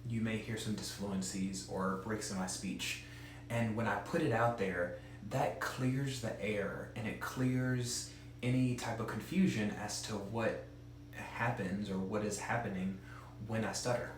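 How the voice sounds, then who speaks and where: A man's voice: on the low side, fairly even intonation, unhurried, very echoey, slight background noise. A man who stutters gives an earnest, direct instructional talk as a presenter for an advocacy group.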